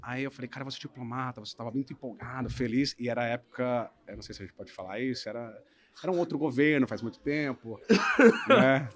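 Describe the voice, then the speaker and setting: A man from Brazil, a lawyer in his thirties, telling the story of his career in a relaxed podcast conversation, often checking in with "né?". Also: mid-range voice, fast. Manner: relaxed storytelling, hedging with frequent "né?". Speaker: guest lawyer. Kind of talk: casual podcast interview.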